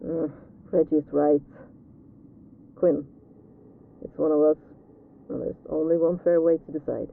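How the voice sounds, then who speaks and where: A man's voice: fairly high pitch, flat delivery, a little slow, vocal fry, pauses often. A man playing a hesitant, self-protective ghost hunter in an audio roleplay, casual, heard through a radio filter. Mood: reasonable. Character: Scopes, hesitant, self-protective male ghost-hunting teammate. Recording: narrow, phone-like radio sound.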